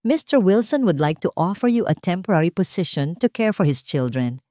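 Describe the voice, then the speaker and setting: A woman's voice: lowish voice, big pitch swings, normal speed, mellow, no room sound, clean studio sound. A woman with a Filipino accent voicing a courteous, businesslike female executive assistant in an audio story, formal and professional.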